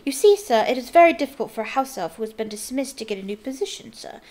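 A woman voicing a small, eager male house elf from a storybook, squeaky and servile, with broken grammar. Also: sing-song, fast talker, darkish tone. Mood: eager, happy. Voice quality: squeaky, shrill.

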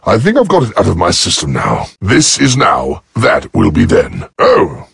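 Very gravelly cocky robot soldier. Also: male voice, sits low, huge pitch swings, measured pace, a bit bright, dry recording, studio quality, full character voice. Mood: wry, cocky. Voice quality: gravelly, raspy. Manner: swaggering, wisecracking delivery. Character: cocky, gruff, battle-loving giant robot soldier. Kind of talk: video game voice acting.

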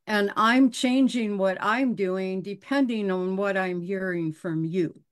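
A woman who is a dog behavior specialist, speaking in a panel discussion over a video call, slow and thoughtful with hesitant restarts. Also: medium pitch.

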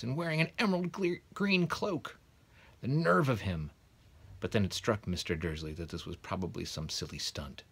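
Male storybook reader, low register, husky tone, narrating a children's novel. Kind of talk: storybook reading aloud. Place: small bedroom, indoors, sitting in bed.

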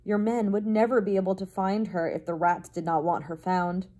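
A woman's voice: medium pitch, flat delivery, normal speed, warm tone, lightly acted. A woman voicing a small, polite male mouse in a storybook, earnest and formal as he pleads. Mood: urgent. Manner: formal, deferential, insistent. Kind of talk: storybook character voice.

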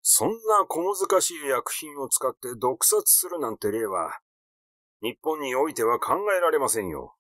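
A man's voice: mid-range voice, big pitch swings, measured pace, fairly bright tone, no room sound, studio quality. A man voicing a cautious, defensive male doctor in an audiobook, formal and dismissive in manner. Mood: evasive, defensive.

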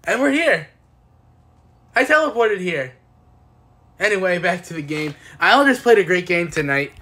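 A young man giving casual, excitable postgame recaps as a sports fan, recorded on a phone at home. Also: high voice, normal speed. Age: young adult. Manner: excitable, exclamatory fan cheering. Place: small indoor room at home. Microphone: phone at arm's length.

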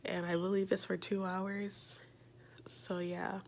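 A woman's voice: mid-range voice, little pitch movement, slow, gravelly, a little hiss or hum. A young woman chatting casually into her phone camera for a vlog, a little congested, rambling and joking about herself. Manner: chatty, rambling, self-mocking. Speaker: vlogger. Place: small room indoors. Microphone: phone camera at arm's length.

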